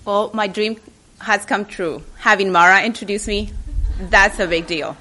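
A woman's voice: mid-range voice, steady tone, a little slow, background noise. Nervous chatty woman. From Chile. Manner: nervous, chatty, self-mocking, with restarts. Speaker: keynote speaker. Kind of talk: personal speech to an audience. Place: public library event.

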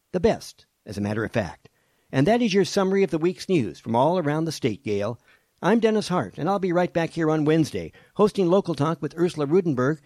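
Husky-voiced man reading radio news. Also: a little high, a little mellow, no room sound, studio quality. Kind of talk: reading a weekly news summary.

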